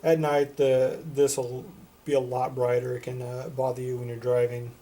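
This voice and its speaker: A man's voice: mid-range voice, monotone, moderate pace, warm tone. A man giving a casual product demonstration as a reviewer, plain-spoken and matter-of-fact. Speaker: product reviewer for a CB radio shop.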